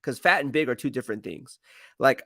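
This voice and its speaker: Male sports streamer, gravelly edge, dry close sound, casual and emphatic, rambles and circles back on his points. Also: medium pitch, lively intonation, a little fast, a bit bright, no echo. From San Francisco Bay Area, United States. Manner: rambling, slangy, emphatic, repeats himself. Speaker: sports streamer and football commentator. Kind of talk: casual live stream chat, answering viewer comments.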